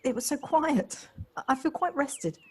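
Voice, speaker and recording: A woman's voice: sits high, animated, normal speed, darkish tone. An actress playing a polite, wistful city woman in an audio play, reflective and a little hesitant. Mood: polite, grateful. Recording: home device recording, light background noise.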